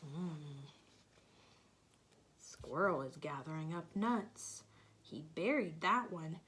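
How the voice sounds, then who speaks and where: A woman reading a picture book aloud to young children, gently asking them questions and sometimes dropping to a soft whisper. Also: low-pitched, animated, takes it slowly.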